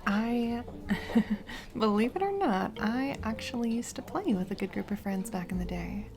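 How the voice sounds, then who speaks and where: A woman playing a warm single mom on a first date in a romantic audio roleplay, speaking hesitantly and encouragingly with soft giggles. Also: a little high, expressive, unhurried. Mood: shyly playful. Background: background music, restaurant date ambiance.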